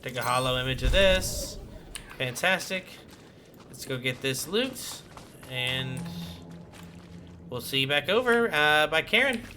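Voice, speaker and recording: A man's voice: medium pitch, lively intonation, takes it slowly, pauses often, noisy background. A man giving casual commentary as he plays a video game and streams it. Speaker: gaming streamer playing and commenting.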